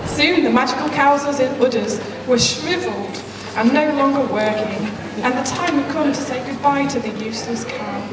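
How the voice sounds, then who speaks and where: A woman's voice: high voice, normal speed, few pauses, distant mic. A woman giving scripted storybook narration on stage as a pantomime narrator. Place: large hall, live stage performance, recorded from afar. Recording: echoey hall from afar, crowd, loud noise.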